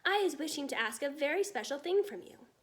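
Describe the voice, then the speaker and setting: A gentle, homespun storybook giant played by a woman reader, high-voiced and very animated. He tangles his grammar and sprinkles his talk with made-up words. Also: brisk. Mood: earnest. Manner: muddled grammar and invented nonsense words.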